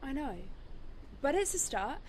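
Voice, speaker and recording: A woman acts out a supportive young woman surviving an apocalypse. Her voice is fairly high, with a reassuring, gently teasing manner in radio drama style, over faint noise. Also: big pitch swings, a little slow.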